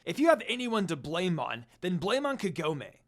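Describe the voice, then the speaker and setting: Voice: medium pitch, very animated, moderate pace. A narrator voicing a scornful, hot-tempered young half-demon man who scolds and accuses in a fan story. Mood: scowling.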